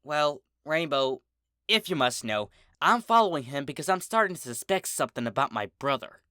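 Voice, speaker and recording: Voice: mid-range voice, animated, normal speed, sharp tone, clear, resonant tone, no room sound, clean studio sound. A performer voicing an earnest orange farm pony mare, a protective sister in a cartoon story, who explains things a little hesitantly. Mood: earnest, suspicious.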